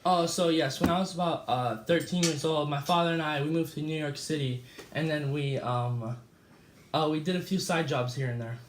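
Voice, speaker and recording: A man's voice: a little high, slight background noise, natural voice. A young man acting as an easygoing, famous male escape artist who chats through a mock interview, with a slightly raised voice. He stumbles over words and says "uh" a lot. The room around him is echoey. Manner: casual, halting with fillers and slips.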